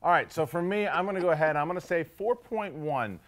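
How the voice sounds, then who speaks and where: A man's voice: on the high side, expressive, a little fast, fairly bright tone. A man co-hosting a casual, unscripted film review, talking enthusiastically and conversationally and often repeating his phrases. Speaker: film review show co-host.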